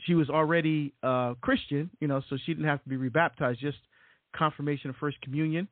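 A man's voice: fairly high pitch, fairly even intonation, a little fast, no echo. A middle-aged man from Barbados, a deacon and author, telling his story as an interview guest with many fillers and repeats. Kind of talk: casual interview. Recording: tinny phone-like audio, dry.

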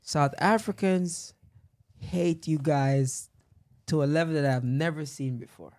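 A man with a slightly high voice hosts a casual discussion. He tells stories in an animated, conversational way, often acting out what people said. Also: unhurried. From Jamaica. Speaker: host of a discussion with friends.

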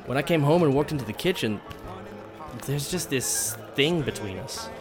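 A man's voice: medium pitch, animated, moderate pace, over music, close to an everyday voice. A man playing a supportive but wounded middle-aged husband and father in an audio drama.

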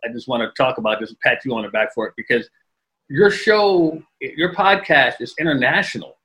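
A man's voice: medium pitch, animated, fairly quick, bright, forceful tone, poor audio. A man hosting a podcast interview, affirming his guest and asking eager questions. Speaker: podcast host. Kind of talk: conversational interview.

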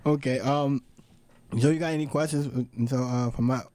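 A man's voice: medium pitch, fairly even intonation, moderate pace, warm tone. A man with a Baltimore accent co-hosting a casual podcast, laid-back and laughing often. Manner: laid-back, laughs often, repeats "you know what I'm saying". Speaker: co-host.

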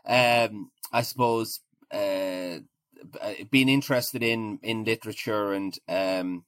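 An Irish man in his forties talking casually as an interview guest, halting and often repeating his words. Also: lowish voice, a bit bright, no room sound. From Ireland. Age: 40s.

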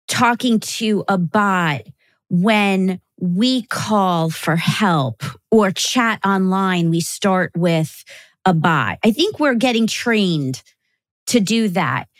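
A woman hosts a podcast interview, speaking slowly with thoughtful pauses. Her pitch sits in the middle, and the sound is dry and close. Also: animated, dry recording. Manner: measured, warm affirmations, thoughtful pauses. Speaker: host.

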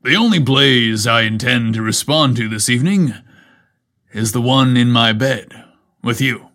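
A man voicing a confident, flirtatious fireman captain in a narrated story, smooth-talking and playful. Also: medium pitch, unhurried, sharp tone. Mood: playful, flirty. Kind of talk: acted dialogue in narrated story.

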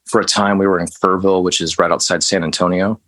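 Low-voiced laid-back texas guy, musician, rambling casually about growing up. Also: little pitch movement, fast, fairly bright tone. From West Texas, USA. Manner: laid-back, loose storytelling with fillers and mild swearing. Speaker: interview guest, band musician. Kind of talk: casual interview.

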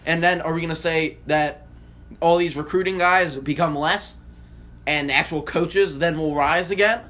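Bright, higher-pitched American man debating sports. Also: fairly even intonation, moderate pace. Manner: emphatic, argumentative, repeats phrases for emphasis. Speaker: sports podcast co-host.

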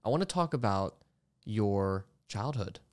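A man's voice: fairly low pitch, lively intonation, measured pace, darkish tone. Stammering, enthusiastic man hosting a podcast. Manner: stammers, repeating sounds and syllables. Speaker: podcast host interviewing a guest. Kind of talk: casual conversational interview.

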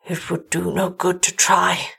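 An older gentleman acted by a woman reader speaks in formal, melodramatic phrases, weary and full of despair. The voice is somewhat husky, in the manner of a gothic storybook. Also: sits high, completely flat delivery, measured pace, exaggerated voice. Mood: weary, bleak. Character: anguished, despairing older gentleman, village husband haunted by horror.

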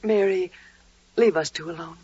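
Gentle older woman, muffled old radio. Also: on the low side, normal speed, husky. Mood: earnest, pleading. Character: older devout expectant woman, gentle, protective cousin. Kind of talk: 1940s radio drama acting. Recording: dull, roomy 1940s radio recording.

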